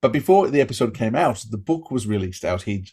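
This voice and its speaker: A man's voice: mid-range voice, animated, brisk, warm tone, husky. Enthusiastic man hosting. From Australia. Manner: enthusiastic, rambling with restarts. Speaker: podcast host. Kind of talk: casual interview chat.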